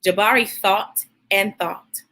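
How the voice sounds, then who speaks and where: A woman hosting a children's read-aloud, speaking in an animated, encouraging way straight to her young listeners. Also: medium pitch, takes it slowly.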